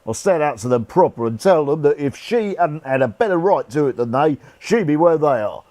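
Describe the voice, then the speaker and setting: Man playing a cheeky, high-pitched common soldier. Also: expressive, a little fast, warm tone, no room sound, close to an everyday voice. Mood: jolly, unrepentant. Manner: jaunty, colloquial, irreverent. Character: cheeky, cheerful old-soldier ghost, rough common man. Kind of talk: radio play acting. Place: actor's home.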